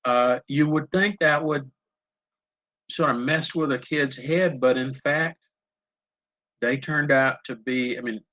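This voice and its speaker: An older man moderating a panel, speaking in an unhurried anecdotal way and repeating words as he searches for them, over a video call. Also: medium pitch, fairly even intonation, bright, forceful tone, no room sound, rough recording. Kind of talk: conversational panel answer.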